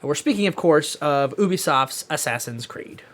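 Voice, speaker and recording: A man's voice: on the high side, animated, moderate pace, fairly bright tone. A man co-hosting a podcast, chatting casually about pop culture in an opinionated, emphatic way. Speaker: podcast co-host.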